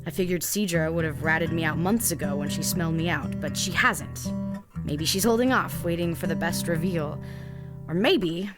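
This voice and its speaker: Woman acting a low-voiced, sardonic captive young spy. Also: normal speed, close to an everyday voice. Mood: bitter, wry. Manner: bitter, wry, sighing between phrases. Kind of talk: dramatic first-person monologue in an audio drama. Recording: music behind the voice.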